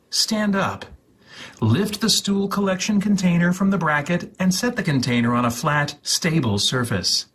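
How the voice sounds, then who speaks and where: A man reading an instruction guide aloud in a mock-serious, theatrical, deliberate way, with a husky voice. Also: a little high, fairly bright tone. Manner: theatrical, deliberate, repeats words for emphasis.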